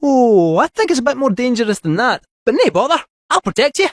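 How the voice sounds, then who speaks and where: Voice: mid-range voice, sing-song, a little fast, dry recording, clean studio sound. An actor voicing a jaunty, theatrical robot cat with a Scottish accent in a video game, helpful, folksy and a little fussy. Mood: fussy, plucky. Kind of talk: animated video game character acting.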